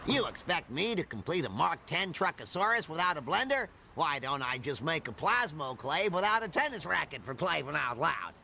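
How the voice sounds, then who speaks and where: An excitable nerdy cartoon scientist, high-pitched. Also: male voice, normal speed, gravelly, hardly any pauses, background noise. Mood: eager. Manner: rambling, nerdy, invented words. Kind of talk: animated game cutscene acting.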